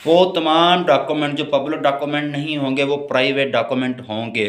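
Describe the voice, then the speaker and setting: A man with a Pakistani accent giving an explanatory lecture, reading formal clauses slowly and explaining them more rapidly. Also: a little high, steady tone. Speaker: law lecturer. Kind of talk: explanatory lecture mixing Urdu-Hindi and English.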